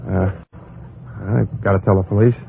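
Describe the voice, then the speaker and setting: A man's voice: sits low, fairly even intonation, normal speed, vocal fry, slight background noise. An actor playing a weary, bitter man in an old radio crime drama, speaking in terse, world-weary phrases. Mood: resigned, subdued. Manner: terse, world-weary, hard-boiled delivery. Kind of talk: 1950s radio drama acting. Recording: muffled old radio recording, loud music behind.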